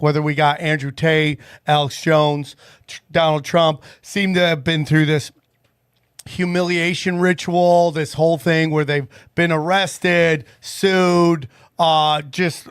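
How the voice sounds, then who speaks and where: A man's voice: a little high, no room sound, studio quality. A man hosting a podcast, talking in a fired-up, questioning way in casual conversation. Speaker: podcast host.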